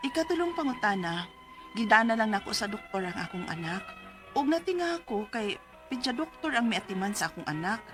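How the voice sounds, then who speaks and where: A woman's voice: on the high side, sing-song, fairly quick, over music. An actress playing a worried middle-aged Cebuano mother, reading her letter of troubles in an anxious, questioning, emphatic way for a radio drama. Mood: anxious, pleading.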